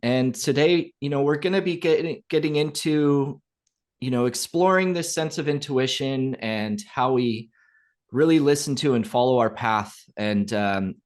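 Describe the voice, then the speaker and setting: A man hosting a podcast, with a voice of middle pitch. He rambles loosely and lets his sentences fade out quietly. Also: unhurried. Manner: rambling, many fillers and restarts, trailing off softly. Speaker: podcast host. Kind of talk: interview conversation.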